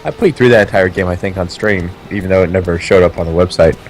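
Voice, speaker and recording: Low-voiced man rambling casually over game music. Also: fast, darkish tone. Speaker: co-host of a game stream. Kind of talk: casual chat over gameplay.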